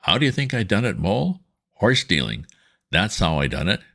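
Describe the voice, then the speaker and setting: A man doing a boastful, excitable toad. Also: moderate pace, gravelly, full character voice. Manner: swaggering, higher and louder than his narration.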